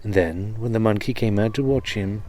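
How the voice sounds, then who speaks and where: A man with a fairly low voice serves as storyteller, reading an old comic tale aloud. His delivery is that of a narrated storybook. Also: moderate pace, darkish tone.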